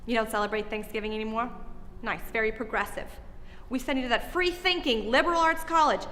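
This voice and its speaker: A woman's voice: a little high, brisk, fairly bright tone, in a large hall, close to an everyday voice. An actress playing an exasperated middle-aged mother who scolds her grown daughter sarcastically. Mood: nagging.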